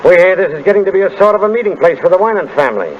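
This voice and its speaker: Dull vintage radio sound with slight hiss frames a gruff-mannered, suspicious police inspector. He speaks bluntly in clipped phrases, a bit high in pitch, in 1930s radio style. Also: expressive, rapid-fire. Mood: suspicious, pressing. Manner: blunt, clipped interrogating cop talk. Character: brusque, suspicious male homicide police inspector. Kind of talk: 1930s radio drama acting. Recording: muffled, noisy 1930s radio broadcast.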